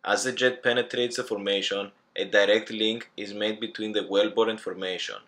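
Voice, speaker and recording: A young man reads a student engineering presentation. His voice sits low and stays flat, and he takes each phrase carefully, as if reading from notes. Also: normal speed. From Greece. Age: young adult. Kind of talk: scripted class presentation.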